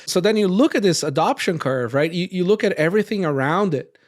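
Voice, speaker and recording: A man from Brazil hosting a casual roundtable podcast, rambling and often tagging his points with quick questions. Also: sits high, expressive. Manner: rambling, frequent tag questions. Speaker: podcast host.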